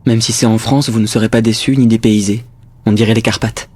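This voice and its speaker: A man acting as a grave, protective regime official in an audio drama, speaking formally in short, restrained sentences with a husky voice. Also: lowish voice, steady tone, a little fast, darkish tone, natural voice. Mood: earnest, reassuring. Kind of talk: intimate French radio drama acting.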